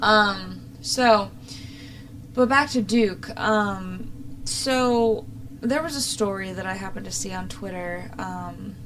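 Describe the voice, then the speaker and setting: A woman's voice: mid-range voice, slow, a bit bright. Young woman commentator, noticeably noisy recording. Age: young adult. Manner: exasperated, sarcastic. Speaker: talk show host. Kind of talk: opinionated casual political commentary.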